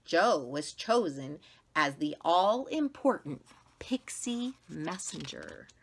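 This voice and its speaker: A middle-aged American woman reading a children's story aloud with dramatic, deliberate emphasis, recorded indoors on a camera at about arm's length. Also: low-pitched, sing-song, creaky, a little hiss or hum. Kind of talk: expressive read-aloud narration. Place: small classroom-like room, indoors.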